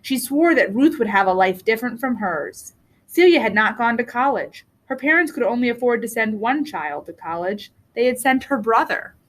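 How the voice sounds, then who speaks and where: High-voiced woman reading a storybook. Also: lively intonation, normal speed, a bit bright. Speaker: reader of a children's book. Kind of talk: read-aloud storybook narration.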